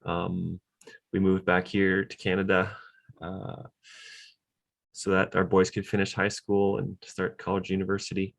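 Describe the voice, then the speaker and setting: A man introducing himself on an online panel over a video call, halting, with many fillers. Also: deep voice, monotone, gravelly. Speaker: panelist, translation consultant.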